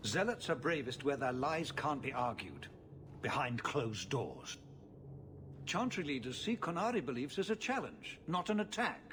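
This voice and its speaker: An actor playing a burdened middle-aged city ruler in a fantasy game, speaking in a measured, formal, world-weary way. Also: male voice, medium pitch, big pitch swings, background noise, lightly acted. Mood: grave, measured. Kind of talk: video game cutscene acting.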